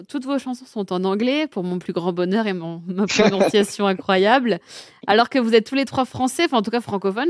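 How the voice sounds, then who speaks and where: A woman radio host asks a musician casual, chatty questions. Her voice sits at an ordinary pitch, and the studio around her is dry and close. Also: no room sound. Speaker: radio interviewer.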